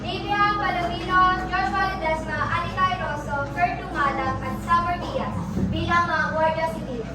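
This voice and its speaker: A woman's voice: extremely high pitch, fairly even intonation, normal speed, heavy background noise. A woman reading out scripted narration as announcer for a school stage play. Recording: echoey, distant, loud music over voice.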